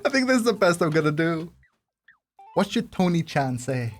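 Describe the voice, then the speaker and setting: A woman's voice: fairly low pitch, big pitch swings, moderate pace, faint music behind. A woman giving casual game commentary as a streamer, playful, giggly and sweary. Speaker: gaming streamer.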